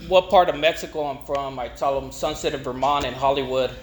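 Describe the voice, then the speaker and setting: A middle-aged man gives an informal personal testimony, rambling and haltingly repeating words. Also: mid-range voice. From East Los Angeles, United States. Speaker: speaker at a recovery meeting. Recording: echoey room with faint noise.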